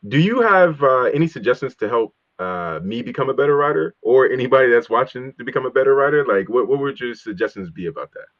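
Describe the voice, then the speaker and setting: A man's voice: medium pitch, lively intonation, fast, hardly any pauses, no echo, muffled recording. A man hosting a casual interview, talking eagerly in a slangy, friendly way. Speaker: interview host.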